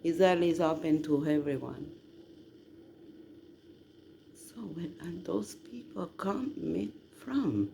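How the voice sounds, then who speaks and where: Slow, gravelly man. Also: a little high, warm tone. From southern Italy. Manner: halting, drawn-out delivery with emphatic questions. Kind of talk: casual rambling monologue. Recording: noticeable background noise.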